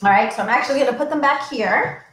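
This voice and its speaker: A middle-aged woman, a children's librarian, talking to small children in a bright, sing-song way from her home. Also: fairly high pitch, quick pace. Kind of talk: children's storytime livestream. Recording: echoey room.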